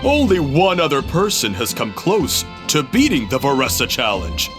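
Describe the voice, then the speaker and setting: A man's voice: medium pitch, huge pitch swings, moderate pace, over music. An actor playing a friendly uncle innkeeper who hosts an eating contest, chatty and chuckling as he explains. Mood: cheerful. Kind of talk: game cutscene voice acting.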